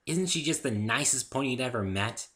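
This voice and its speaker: A man's voice: mid-range voice, expressive, a little fast, pushed and bright, voice pushed up. A man voicing a bubbly, friendly, playful female pony with a chirpy, eager delivery in a story reading. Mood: affectionate. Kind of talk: amateur dramatic reading of a fan story.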